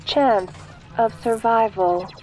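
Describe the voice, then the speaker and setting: A woman's voice: on the high side, slow, over music, rough recording. A woman voicing an impassive spaceship computer, giving formal, clipped announcements in a sci-fi audio roleplay. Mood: impassive, warning. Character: impassive female ship computer, procedural, alarm-giving machine.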